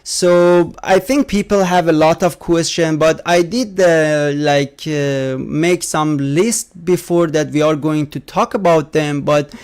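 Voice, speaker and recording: A man hosting a gaming podcast, talking casually with many fillers and halting, non-native phrasing. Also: fairly high pitch, a little mellow, clean tone. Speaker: podcast host.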